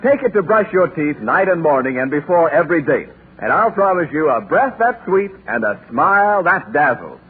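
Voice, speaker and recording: A man's voice: a little high, sing-song, moderate pace, hardly any pauses. A man announcing in polished, salesmanlike 1940s radio style. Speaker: radio announcer and comic foil. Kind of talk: 1940s radio sponsor patter. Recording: tinny, noisy old radio recording.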